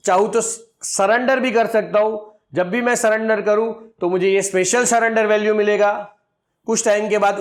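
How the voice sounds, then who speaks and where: A financial advisor in his forties explains an insurance plan to his viewers. He speaks in a high-pitched voice and works through each figure step by step. He calls his audience friends as he goes. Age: 40s.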